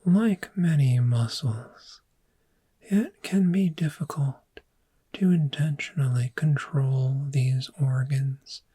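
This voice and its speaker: A woman voicing a calm, patient dragon therapist in a gentle, breathy, soft-spoken ASMR roleplay, with measured, reassuring instruction. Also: sits low, exaggerated voice. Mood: patient explaining. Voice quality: breathy, whispery.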